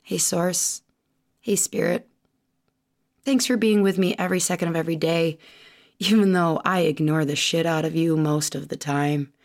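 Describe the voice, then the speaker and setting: A woman's voice: lowish voice, fairly even intonation, normal speed. A woman who hosts a podcast and works as a chiropractor, opening the show with a casual, irreverent spoken prayer.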